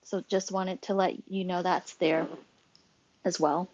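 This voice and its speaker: A woman's voice: lowish voice, flat delivery, a little slow, darkish tone, no echo, dull audio. A woman hosting a casual social audio room, talking in a loose, rambling way with many fillers and restarts. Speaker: host and club moderator.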